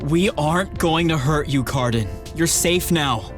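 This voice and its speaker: A woman's voice: low-pitched, measured pace. A woman voicing an earnest, kind young male exorcist in a fantasy audiobook, with a reassuring manner. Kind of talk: dramatised fantasy audiobook acting. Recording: faint music under the voice.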